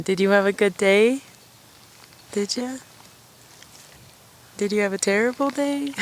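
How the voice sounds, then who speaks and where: A woman with a low voice, chatting casually to camera outdoors at her forest camp through a camera-mounted mic, rambling and self-deprecating. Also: gravelly. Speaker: vlogger living at a wilderness camp.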